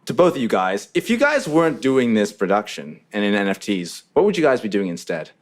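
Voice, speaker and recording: A man's voice: on the low side, very animated, a little fast. A man hosting a podcast in a music studio, upbeat and chatty, often repeating words and restarting his sentences. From Mauritius. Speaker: podcast host. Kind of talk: casual podcast interview.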